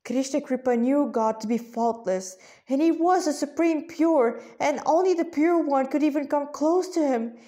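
Mellow-voiced woman reading a story aloud. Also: high voice. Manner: halting, often stumbling and correcting herself.

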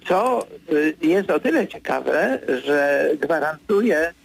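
An older man, a political commentator, answering as a guest in a radio studio interview, hesitant with many fillers, then firm and brisk. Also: fairly high pitch, expressive, vocal fry, husky, no room sound.

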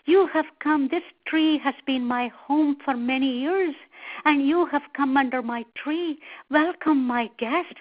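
A high-voiced, clever monkey from a storybook, played by a woman. He is friendly and exclaims with emphasis. The recording sounds slightly dull. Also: measured pace. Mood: welcoming. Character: friendly, quick-witted male monkey, easily alarmed.

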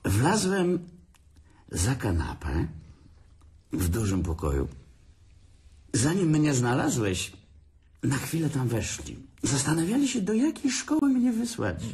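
A man's voice: mid-range voice, wildly swooping pitch, slow, long pauses, a little mellow. An actor playing a bitter, drunk older brother who mocks and laughs at his sibling in a radio drama. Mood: taunting. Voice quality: sneering, laughs often.

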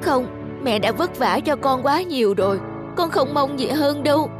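A teenage daughter in a cartoon drama. The voice is high and girlish, full of feeling, devoted yet quick to feel shame. Music plays behind it. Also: animated. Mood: remorseful. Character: emotional teenage daughter, devoted but easily ashamed. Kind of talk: cartoon voice acting.

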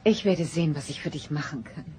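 An actress playing a caring, measured mother in a science fiction radio drama. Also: low voice, animated, a little mellow. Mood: gentle, firm. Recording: noisy old cassette audio drama.

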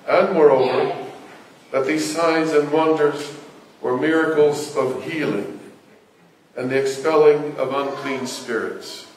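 Older priest in a very echoey church. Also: male voice, on the high side. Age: 60s or older. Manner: solemn, measured, deliberate delivery. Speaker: Orthodox parish priest. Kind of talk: liturgical Gospel reading and sermon. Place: church interior, at a lectern before the icon screen. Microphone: distant camera. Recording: echoey church from far off, faint noise.

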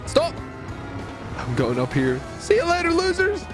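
A man giving excited, playful gaming commentary in a theatrical way, often exclaiming and repeating his phrases. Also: extremely high pitch, measured pace, music in the background. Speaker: gamer and video host.

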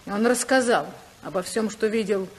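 An actress playing a proud, anguished, determined senator's wife in a 1950s radio drama, theatrical and emphatic with dramatic pauses. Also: female voice, medium pitch, breathy edge, lightly acted. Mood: bitter, restrained. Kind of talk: 1950s Russian radio play acting.

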